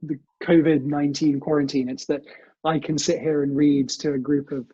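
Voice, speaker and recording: A man, a guest reader who chats casually and reads storybooks aloud in a measured, expressive way over a video call. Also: fairly high pitch, slightly rough. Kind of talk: storybook reading and casual chat. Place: indoors, remote online session. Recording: muffled, echoey video call audio.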